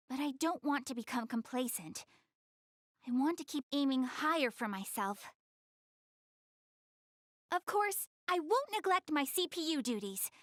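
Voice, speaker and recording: A woman's voice: sits high, a bit bright, dry recording, studio quality, heavily acted. A young woman playing a proud, easily flustered, cute anime goddess girl in a video game, speaking bashfully and defensively to the player. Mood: bashful, earnest. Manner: bashful, defensive, stammering when embarrassed.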